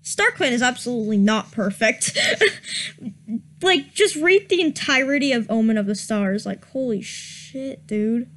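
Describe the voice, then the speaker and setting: A woman's voice: on the high side, animated, unhurried, fairly bright tone. A young woman giving a comedic dramatic reading of badly written fan fiction, stumbling over misspellings and breaking into laughter. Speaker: reader.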